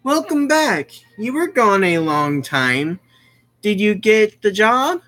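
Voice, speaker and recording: A young man putting on a high-voiced caring mother. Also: very animated, slow, pushed and bright, full character voice. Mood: questioning. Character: the player's mother, a woman, caring and curious.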